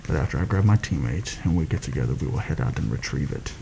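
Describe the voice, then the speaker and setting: A man playing a shrewd, secretive mercenary planner in a soft-spoken ASMR fantasy roleplay, confiding and deliberate in a slightly formal way. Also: expressive, background noise, exaggerated voice. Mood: conspiratorial, measured. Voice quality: low, gravelly, soft-spoken. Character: shrewd, secretive male mercenary plotting a royal assassination.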